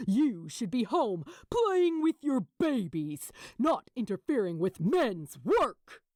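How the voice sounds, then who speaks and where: Storybook villain played by a woman: bullying male executive, sneering and snarling, swooping emphatic pitch. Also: very animated, slow pace, darkish tone, no echo, studio quality, exaggerated voice. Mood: scornful.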